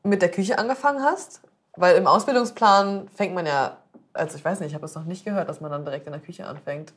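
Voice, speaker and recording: A woman hosting a podcast, asking quick follow-up questions and adding explanations in a casual tone. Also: fairly low pitch. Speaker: podcast host. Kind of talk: casual interview.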